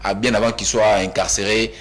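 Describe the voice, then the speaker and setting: A man from Kinshasa, a panelist in a heated studio debate who cuts in, repeats himself and rises almost to shouting. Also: fairly low pitch, little pitch movement, brisk. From Kinshasa, DR Congo. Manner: insistent, interrupts, repeats himself emphatically, rising to near-shouts.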